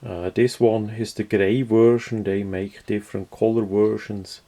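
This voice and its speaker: Slow, gravelly-voiced man, non-native English speaker. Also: on the low side, little pitch movement, warm tone. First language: not English. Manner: hesitant, halting, matter-of-fact delivery with many pauses. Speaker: product reviewer. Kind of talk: casual unscripted product overview.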